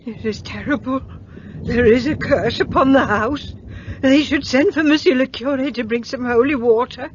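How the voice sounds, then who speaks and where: An actress playing a frightened, superstitious servant in a radio play, speaking in an agitated, dramatic way. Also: female voice, high voice, moderate pace, dull audio, slight background noise, natural voice.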